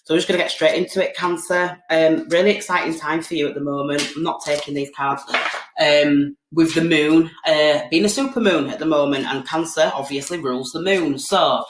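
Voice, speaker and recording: A woman's voice: low voice, fairly even intonation, fairly quick, noisy background. A British woman with a bit of a cold gives a casual tarot reading, chatty and full of "okay?" and "um". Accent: British English. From United Kingdom. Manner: chatty, repeats "okay?" and "um" often. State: has a cold, sniffly. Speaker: tarot card reader. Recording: echoey room.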